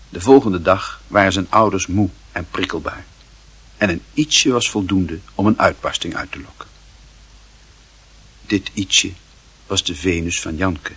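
Low, very husky male narrator. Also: fairly bright tone. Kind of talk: literary scripted narration in a radio play.

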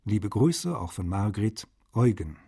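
A man's voice: lowish voice, big pitch swings, unhurried, vocal fry, dark tone, slightly rough, full character voice. A man playing a friendly, wry translator, reading his letters aloud in a radio feature. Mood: friendly, brisk.

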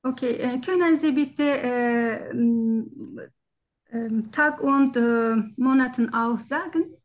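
A high-pitched woman speaks German with a foreign accent. She talks slowly and formally as a doctor questioning a patient, hesitant and searching for each word. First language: not German. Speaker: doctor taking a patient history in exam practice. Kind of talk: halting structured medical interview in role-play.

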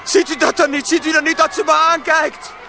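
A man's voice: extremely high pitch, flat delivery, a little fast, pushed and bright, some chatter behind, slight background noise, heavily acted. A young man playing a distraught teenage boy, pleading desperately in a radio drama. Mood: hysterical denial.

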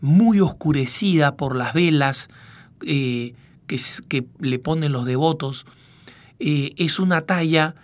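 A middle-aged man from Peru, raised in Argentina, hosting a reflective radio commentary in a measured, halting way as he searches for words. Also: on the high side. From born in Peru, raised in northwestern Argentina. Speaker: radio program host, news agency director.

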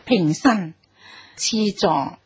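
An actress playing a commanding yet compassionate empress dowager in a Cantonese radio drama, formal and deliberate in manner. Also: female voice, medium pitch, husky, dry recording, exaggerated voice. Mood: stately, curious. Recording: music behind the voice.

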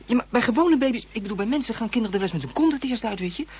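A woman's voice: a little high, expressive, very fast, darkish tone, slightly rough. A woman playing an eager, boastful young boy in a radio drama, gushing and exaggerating. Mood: eager, chatty. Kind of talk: Dutch radio drama acting.

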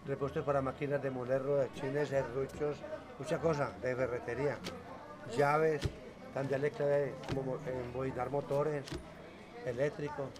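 A man's voice: mid-range voice, flat delivery, moderate pace. A middle-aged Colombian man chatting colloquially as a hardware stall owner being interviewed, often repeating and rephrasing himself. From Tuluá, Valle del Cauca, Colombia. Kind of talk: casual interview chat. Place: hardware stall in a public market. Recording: busy indoor market crowd noise.

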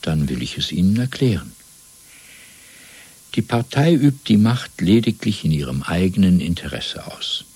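A man playing a cold, fanatical party interrogator in a German radio drama, lecturing deliberately and domineeringly with a husky, breathy voice. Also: low voice, big pitch swings, no room sound, heavily acted. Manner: didactic, deliberate, swelling from hushed to emphatic.

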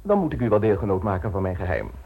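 A man's voice: lowish voice, big pitch swings, rapid-fire, creaky, husky, narrow, tinny audio, heavily acted. A man playing a pompous out-of-work stage actor. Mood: grandiloquent. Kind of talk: radio drama acting.

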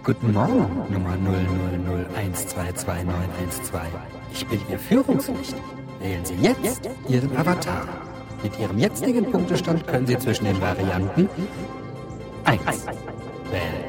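A man's voice: fairly low pitch, big pitch swings, normal speed, over loud music. A man playing a guiding light, a polite automated system voice that greets newcomers in a virtual world in formulaic announcements. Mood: impersonal, polite.

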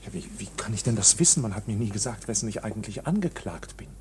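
An actor in a German radio play playing an anxious, bewildered man accused by a court, speaking in a halting, agitated, insistent way. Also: on the low side, animated, a little mellow, a little hiss or hum, natural voice. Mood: uneasy, hesitant. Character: anxious, bewildered accused man, protesting his innocence, indignant.

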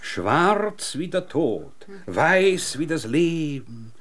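An actor playing an eccentric, pompous scientist in an absurd radio drama, lecturing theatrically. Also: male voice, fairly high pitch, very animated, extremely slow, fairly bright tone, exaggerated voice. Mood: solemn, poetic. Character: eccentric, naked time-theorist scientist back from apparent death.

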